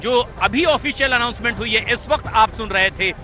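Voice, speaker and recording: A man with a very high-pitched, piercingly bright voice delivers live breaking-news commentary. He is urgent and emphatic, hammering key phrases by repetition, with faint music underneath. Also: brisk. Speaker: news anchor.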